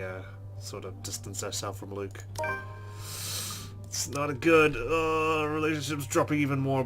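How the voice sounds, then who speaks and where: A man's voice: mid-range voice, a bit bright. Man reading a game script aloud. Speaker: let's play host. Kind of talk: reading a visual novel aloud.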